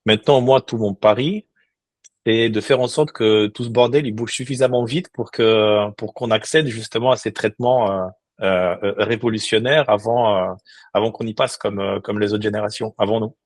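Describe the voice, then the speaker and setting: Un homme d'une quarantaine d'années, invité d'un podcast, qui parle sérieusement avec beaucoup d'hésitations et de reprises. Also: male voice, on the low side, fairly even intonation, normal speed, dry recording, clean studio sound. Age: 40s. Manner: earnest, many restarts and hesitations. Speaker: guest. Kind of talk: casual interview talk.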